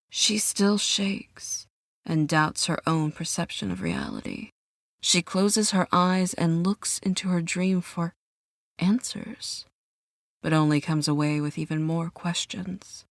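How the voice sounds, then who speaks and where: A woman narrates a dramatic scripted story at a very unhurried pace. Her pitch sits somewhat low, and the recording is dry and close. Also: gravelly, no room sound.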